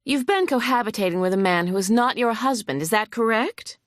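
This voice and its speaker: A woman's voice: fairly high pitch, lively intonation, brisk, no room sound, studio quality, pitched above the performer's own voice, lightly acted. A woman putting on a huffy, badgering lawyer. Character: sharp huffy woman lawyer cross-examining.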